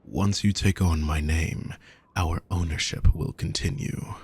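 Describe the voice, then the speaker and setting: A man playing a wealthy, possessive villain kidnapper in an intimate audio roleplay, calm, courtly and manipulative, speaking in measured, formal phrases with soft menace. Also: exaggerated voice. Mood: possessive, soothing. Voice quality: low, gravelly, husky.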